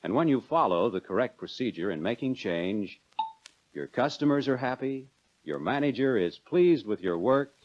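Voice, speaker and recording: An American man narrating a 1940s instructional film in a measured, instructive manner, addressing the viewer directly. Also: medium pitch, animated. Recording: dull lo-fi 1940s film soundtrack.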